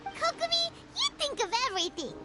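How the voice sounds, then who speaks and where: A very high, squeaky, animated little fairy, eager and childlike in the manner of a cartoonish game sidekick. Music plays behind the voice. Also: female voice, brisk. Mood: admiring. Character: tiny cheerful childlike floating fairy companion. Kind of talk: video game voice acting.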